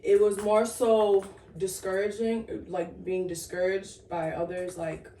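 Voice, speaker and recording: A woman in her thirties, born in Sudan and raised in the Twin Cities, telling her story as a guest in a casual interview, reflective and halting. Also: medium pitch, level tone, warm tone, far from the mic. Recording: echoey room, off-mic, faint noise.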